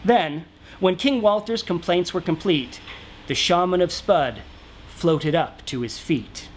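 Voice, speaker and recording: A man's voice: sits high, slow pace, a little hiss or hum. A man in his thirties reading a storybook aloud in rhyme, theatrical, rhythmic and emphatic. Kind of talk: dramatic rhyming storybook narration. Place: small cosy brick-walled lounge indoors.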